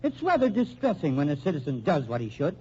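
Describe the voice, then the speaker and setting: A man's voice: fairly high pitch, wildly swooping pitch, fast, warm tone, breathy edge, heavily acted. An actor playing a timid, fussy, formal married man who speaks hesitantly in an old radio drama. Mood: fussy, aggrieved. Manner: hesitant, fussy, formal, spells out his name. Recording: muffled, humming old radio recording.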